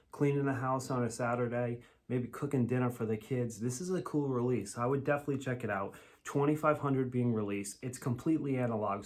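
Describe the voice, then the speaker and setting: A middle-aged man with a New England accent, chatting casually as a vlog host, relaxed and enthusiastic, often trailing off at the ends of phrases. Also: mid-range voice. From New Jersey, raised in Massachusetts. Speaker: vinyl collector host.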